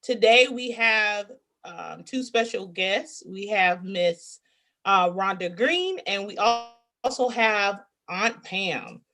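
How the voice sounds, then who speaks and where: A woman hosting a talk show, relaxed and conversational, introducing guests with easy fillers and restarts. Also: medium pitch, slow pace. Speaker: host.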